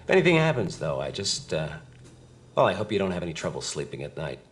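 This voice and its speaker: A man playing an earnest 1940s investigator in a video game, a little awkward and hesitant, quick to exasperation. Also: deep voice, very animated, slight background noise. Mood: frustrated. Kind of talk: video game noir dialogue acting.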